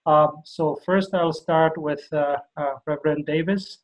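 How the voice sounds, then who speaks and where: Hesitant man chairing a meeting, higher voice. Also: fairly even intonation, unhurried, rough recording. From Somalia. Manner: hesitant, many fillers.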